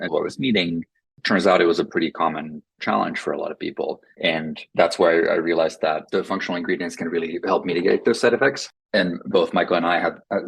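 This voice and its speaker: Gravelly low-voiced Finnish-native man. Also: low-quality recording. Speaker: company co-founder, guest. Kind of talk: conversational podcast interview.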